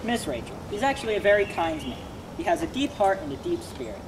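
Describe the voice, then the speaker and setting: A woman playing an easygoing, loyal young male helper in a homeschool dramatic reading, earnest and gently teasing. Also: medium pitch, big pitch swings, quick pace, natural voice. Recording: loud background noise.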